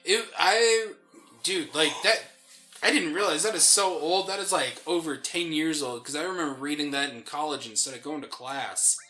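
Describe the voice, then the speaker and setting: Young guy, very bright slightly high voice, slangy rambling game commentary, music playing behind. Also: normal speed. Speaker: co-host of a gaming show. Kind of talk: casual chat during video game commentary.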